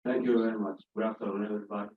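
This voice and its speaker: A man's voice: fairly low pitch, little pitch movement, racing. Hesitant man from Ethiopia. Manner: hesitant, many fillers and restarts. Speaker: conference attendee. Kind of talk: audience comment. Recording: dull lo-fi sound.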